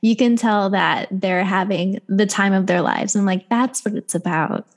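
A woman's voice: mid-range voice, normal speed. A woman with a blended West Coast and Midwest American accent, chatting casually and informally as a podcast guest. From Ohio, US Midwest. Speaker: comedian and actor, podcast guest. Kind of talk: casual chat.

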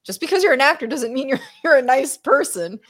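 Female podcast co-host, very animated intonation, frank and salty casual movie banter. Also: high voice, brisk. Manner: blunt, informal, swears freely. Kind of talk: casual watch-party film chat.

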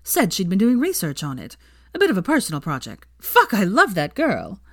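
A woman voicing a brash, excitable young woman who teases and gossips smugly in an audiobook-style story. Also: medium pitch, moderate pace. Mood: excited, chatty. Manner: excitable, smug, foul-mouthed.

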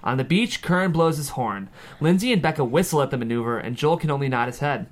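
A man's voice: fairly high pitch, lively intonation, fast. A man reading screenplay stage directions aloud at a table read, with dramatic scripted narration.

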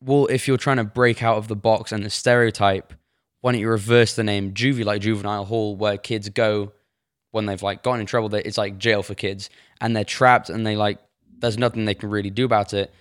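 A teenage boy with an English accent, from Sheffield, co-hosting a podcast in relaxed, explanatory chat. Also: lowish voice, a little fast. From Sheffield, England. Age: teens. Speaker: podcast co-host. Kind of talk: casual chat.

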